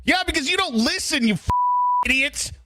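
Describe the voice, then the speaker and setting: A man's voice: extremely high pitch, lively intonation, normal speed, very noisy. A man giving casual, mocking reaction commentary with sarcastic, exasperated and profane asides. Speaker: commentator reacting to recorded calls.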